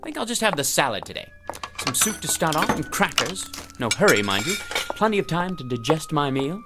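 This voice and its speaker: An actor playing a fussy, lonely, self-satisfied office worker who dislikes people, in theatrical audio drama style. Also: male voice, on the high side, sing-song, fairly quick, over loud music. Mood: bored, fussy. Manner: pompous, fussy, muttering to himself.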